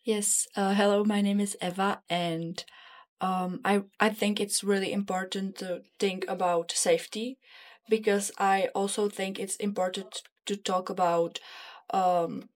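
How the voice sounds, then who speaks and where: A woman's voice: mid-range voice, level tone. A young woman, a student, hesitantly giving her opinion in an informal discussion. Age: young adult. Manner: hesitant, halting. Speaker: student panelist.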